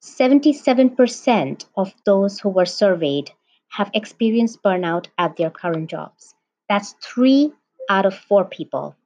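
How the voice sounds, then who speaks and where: A woman's voice: medium pitch, expressive, unhurried, darkish tone. A woman hosting a podcast, presenting and putting questions to a guest in a prepared, orderly way. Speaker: podcast host. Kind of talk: scripted introduction and interview questions. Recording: dull, lo-fi podcast audio.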